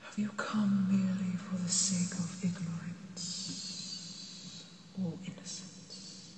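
A woman voicing an ancient, imperious but gentle female dragon in an ASMR fantasy roleplay, breathy and stately, with deliberate archaic phrasing. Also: fairly low pitch, little pitch movement, noisy background. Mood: stern, probing. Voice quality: breathy, mostly whispered. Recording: echoey cavernous reverb, distant.

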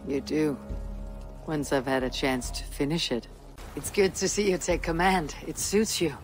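An actress playing a stoic Viking warrior in a video game, with a measured, resolute delivery. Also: female voice, sits low, music in the background. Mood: calm, reflective. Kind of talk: dramatic video game cutscene acting.

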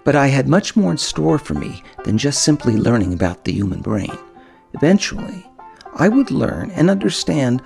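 A man reading first-person audiobook narration in an expressive, dramatic storytelling manner. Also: mid-range voice, normal speed, vocal fry, faint music behind.

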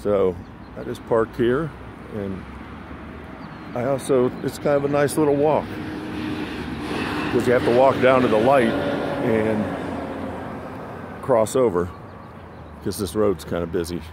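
A man giving casual, rambling, low-key vlog narration on a phone while out walking. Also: takes it slowly, long pauses. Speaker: vlogger. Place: outdoors on a sidewalk beside a busy road. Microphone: handheld phone. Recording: outdoor phone recording with traffic noise. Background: passing cars, street ambience.

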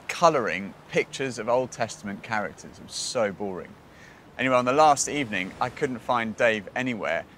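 Casting: British male presenter, thirties, earnest faith storytelling, measured phrases and pauses, music underneath. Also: medium pitch, fairly bright tone, a little hiss or hum. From Britain. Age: 30s.

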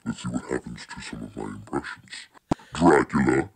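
A man doing cartoon impressions as a hulking, gravelly giant, altered by a giant voice-changer filter. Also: very deep voice, moderate pace, pitched below the performer's own voice, exaggerated voice. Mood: booming, theatrical.

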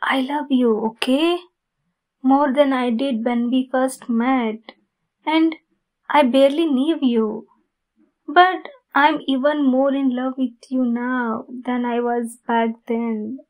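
Woman acting a high-voiced, flustered demon girlfriend. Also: fairly even intonation, poor audio. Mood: tender. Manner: halting, flustered, intimate delivery. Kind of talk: ASMR roleplay.

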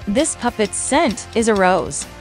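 A woman's voice: mid-range voice, big pitch swings, unhurried, over music. A woman reading scripted narration as the presenter of a quiz.

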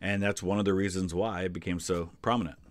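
A man's voice: low-pitched, steady tone, fast talker, no room sound. A middle-aged American man giving casual, chatty explanatory commentary in a small indoor studio. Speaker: host reacting to a history quiz video.

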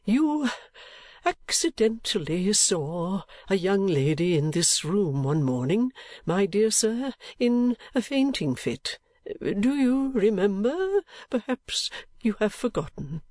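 A man voicing a kindly, excitable, sentimental old gentleman in a storybook reading, with an emphatic, halting, drawn-out delivery. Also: high voice, dry recording, studio quality, voice pushed up, full character voice. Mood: grave, hesitant. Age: older.

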